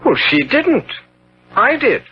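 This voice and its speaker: An actor playing a corrupt, secretive young gentleman in a theatrical, insinuating manner, in 1970s radio drama style. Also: very high-pitched, big pitch swings, slow pace, exaggerated voice. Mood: bitter, intense. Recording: dull, hissy old radio broadcast.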